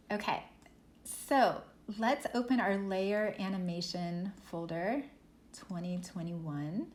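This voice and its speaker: Female workshop instructor, lowish voice, relaxed tutorial delivery with fillers, roomy echo and faint hiss. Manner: relaxed, thinking aloud with fillers. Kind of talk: software tutorial lesson. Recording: echoey room with faint noise.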